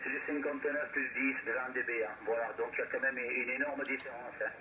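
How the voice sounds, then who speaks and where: A man's voice: medium pitch, fairly even intonation, fast. A man chatting casually as an amateur radio operator, heard through a radio receiver, rambling and repeating his words. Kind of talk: casual radio contact chat. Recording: noisy shortwave radio reception.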